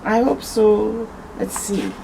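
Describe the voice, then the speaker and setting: A woman reading a picture book aloud to children as a storytime host, expressive, with conversational asides. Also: mid-range voice, slow pace, creaky, slight background noise, poor audio. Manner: conversational asides, tags questions with "eh".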